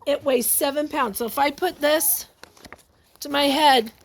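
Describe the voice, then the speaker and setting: A woman reading a picture book aloud to young children, expressive and exclamatory, often breaking off to ask her listeners questions and add asides. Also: high voice, measured pace.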